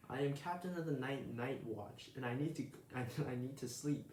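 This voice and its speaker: A man's voice: mid-range voice, moderate pace, a little mellow, a little hiss or hum, lightly acted. A man voicing a tired, grumpy male owl knight who speaks wearily. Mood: exasperated. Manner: weary and exasperated. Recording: roomy echo.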